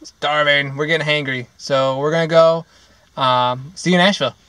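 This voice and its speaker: A man's voice: on the high side, steady tone, normal speed, pushed and bright, slight background noise. A young man hosting a casual travel vlog, upbeat and joking, filmed on a camera at arm's length. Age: young adult. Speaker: travel vlogger. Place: outdoors on city streets and in a car. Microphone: camera held at arm's length.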